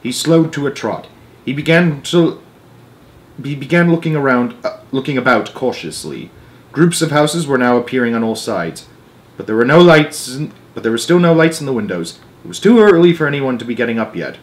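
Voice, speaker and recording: A man's voice: mid-range voice, animated, normal speed. Man reading a children's story expressively. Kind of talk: expressive read-aloud narration of a children's book.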